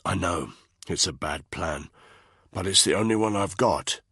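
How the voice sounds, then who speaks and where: A man's voice: lowish voice, sing-song, unhurried, bright, forceful tone, husky, dry recording, studio quality, exaggerated voice. A man voicing a stubborn, worn-out male soldier who answers in short, clipped lines, in an audiobook reading. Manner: terse, clipped, determined.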